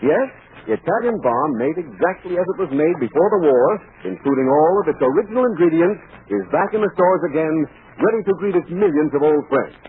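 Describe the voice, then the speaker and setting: Male 1940s radio announcer, emphatic sales pitch, lively swooping delivery, thin phone-like recording. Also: brisk.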